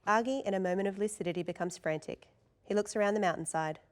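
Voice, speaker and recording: A woman's voice: medium pitch, quick pace. A woman reading scripted narration and stage directions as narrator at a table read.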